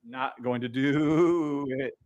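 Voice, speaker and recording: A man hosting a trading livestream in casual chat, speaking loudly and bluntly with frequent swearing and laughter into a boom mic. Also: slow, dry recording, muffled recording. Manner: loud, blunt and profane, laughs often.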